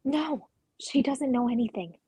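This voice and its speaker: A woman's voice: sits high, animated, a little slow, mellow, husky, no room sound, full character voice. A woman playing a guarded, conflicted sister, earnest and defensive, in a dramatic play reading. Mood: hurt, guarded.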